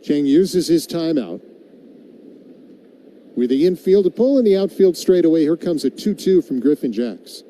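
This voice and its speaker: A man's voice: on the high side, normal speed, pauses often. A man calling live baseball play-by-play on radio, slipping into casual banter with his partner. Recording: muffled broadcast with steady background noise.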